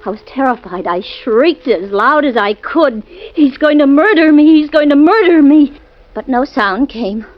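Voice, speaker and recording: Brief: scared young wife, pleading, high voice, lo-fi radio. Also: female voice, animated, moderate pace. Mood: anxious. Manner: anxious, pleading. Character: frightened young wife recounting a nightmare. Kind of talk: 1940s radio acting. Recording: dull old radio recording with faint music.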